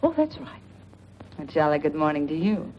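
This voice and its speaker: An actress playing a sociable, bossy boarding-house landlady in a 1940s radio drama, brisk and polite. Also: low-pitched, sing-song, a little mellow. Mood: cheerful. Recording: muffled old radio recording, faint noise.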